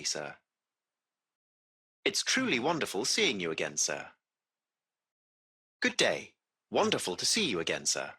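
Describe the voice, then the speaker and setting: Game casting: a polite AI chauffeur, formal and precise, very animated pitch, bright forceful edge. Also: male voice, gravelly, slightly rough, exaggerated voice. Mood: polite, welcoming. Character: courteous AI taxi-service machine, formal and butler-like. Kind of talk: scripted video game voice acting.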